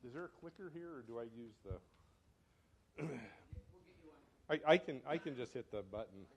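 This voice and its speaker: An older man, a scientist, giving a casual talk at a club meeting, often correcting himself and pausing. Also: mid-range voice, expressive, a little hiss or hum. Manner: chatty, self-correcting, fumbles with clicker. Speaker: guest speaker, scientist. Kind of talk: informal lecture. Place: meeting hall. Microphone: handheld or podium microphone.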